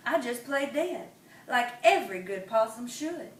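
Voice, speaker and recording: A woman voicing a small boy possum who brags he is not scared, drawing out his words slowly, in an echoey room. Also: fairly high pitch, animated, slight background noise. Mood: cheerful. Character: small timid but boastful boy possum. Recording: echoey room, mic at a distance.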